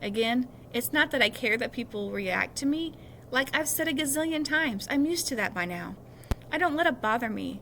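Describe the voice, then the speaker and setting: A woman's voice: sits high, lively intonation, moderate pace, fairly bright tone, slight background noise. A woman reading a children's novel aloud expressively, now and then correcting a small slip. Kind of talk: read-aloud storytelling.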